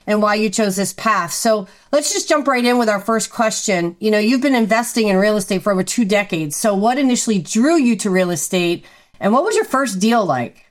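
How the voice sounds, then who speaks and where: A woman's voice: medium pitch, lively intonation, fairly quick. A woman hosting a podcast, introducing her co-host and guest in an upbeat, scripted-sounding way.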